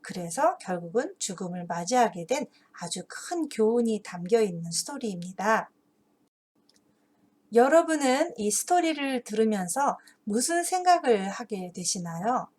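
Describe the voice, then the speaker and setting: A woman explaining a children's story and its moral as a teacher, earnest and deliberate, phrase by phrase. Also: on the high side, very animated, a little mellow. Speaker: host and teacher of an English Bible story channel for parents.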